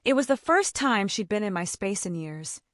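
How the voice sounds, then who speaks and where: A woman's voice: medium pitch, expressive, moderate pace, a bit bright, dry recording, clean studio sound. A woman delivering dramatic first-person scripted narration for an audio story.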